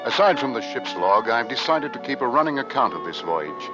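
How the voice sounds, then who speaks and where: A dull, old radio recording with loud music carries an actor as an earnest male first mate. He narrates his polar voyage in measured period radio drama style, at an everyday male pitch. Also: big pitch swings, natural voice. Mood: grave, reflective. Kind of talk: 1940s-50s radio drama acting and narration.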